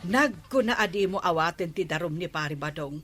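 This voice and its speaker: A woman's voice: on the low side, lively intonation, moderate pace, fairly bright tone, soft background music. A woman with an Ilocano accent playing a firm, admonishing village woman in a radio comedy drama.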